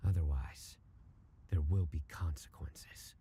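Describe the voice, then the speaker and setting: A man's voice: very deep voice, slow, mellow, a little hiss or hum, full character voice. An actor playing a stern, cold male judge who hunts wrongdoers, in a video game, speaking in a threatening, clipped manner. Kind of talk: video game cutscene voice acting.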